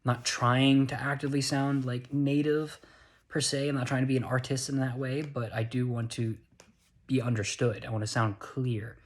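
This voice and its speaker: A man's voice: mid-range voice, flat delivery, moderate pace. A tired young man with an American accent, talking casually into a handheld phone, earnest and rambling, correcting himself as he goes. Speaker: vlogger. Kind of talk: casual vlog monologue.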